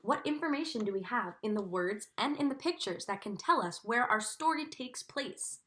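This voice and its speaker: Fairly high-voiced woman reading aloud. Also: expressive, a bit bright. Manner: deliberate, instructive. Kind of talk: expressive storybook read-aloud with comprehension questions.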